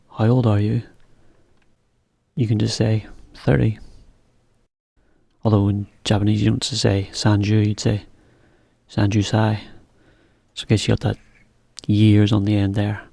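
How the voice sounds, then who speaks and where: Man, English teacher, slow and even delivery, gravelly low voice. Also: dry recording. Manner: slow, plain explanations with example sentences. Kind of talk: slow beginner English lesson.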